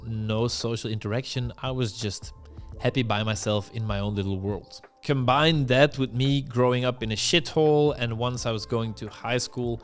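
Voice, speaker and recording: A man's voice: medium pitch, moderate pace. Young Belgian-raised dating coach, candid and blunt, telling his own story to camera over a faint music bed. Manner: candid, blunt, self-deprecating.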